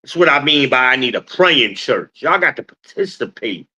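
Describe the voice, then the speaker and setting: A deep-voiced man from New Jersey hosting a casual livestream, telling stories and calling on the chat like a preacher asking for an amen. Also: big pitch swings, normal speed, sharp tone, husky. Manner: preacher-like call and response with the chat. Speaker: podcast host.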